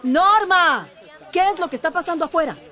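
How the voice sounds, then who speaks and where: A woman's voice: extremely high pitch, animated, moderate pace, sharp tone, some chatter behind, heavily acted. An actress playing a haughty, authoritarian woman mayor in a radio drama, imperious and scornful. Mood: irritated, imperious.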